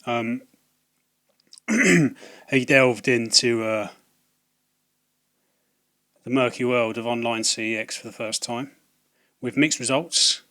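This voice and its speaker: A slightly hoarse man, talking casually to camera about video game purchases, hesitant with many ums and restarts. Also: medium pitch, slow pace, pauses often, sharp tone. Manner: hesitant, lots of ums and restarts, wry asides. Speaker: video game collecting vlogger. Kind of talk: casual rambling vlog to camera.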